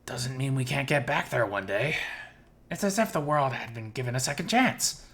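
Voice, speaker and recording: A man's voice: mid-range voice, lively intonation, moderate pace, a bit bright. A man voicing an earnest, curious, hopeful young male animal character in a visual novel.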